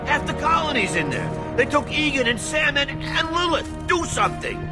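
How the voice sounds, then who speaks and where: A man's voice: very high-pitched, very animated, moderate pace. A man playing a desperate, panicking colonist in a video game, pleading frantically. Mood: frantic, desperate. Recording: loud music over the voice.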